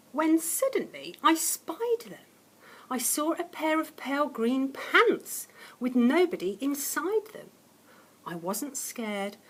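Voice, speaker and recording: A woman reads a picture book aloud to children in a high voice that swoops expressively from line to line. She takes her time, with slow, dramatic phrasing that moves between hushed suspense and stronger emphasis. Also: husky. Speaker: primary school teacher reading a story to children.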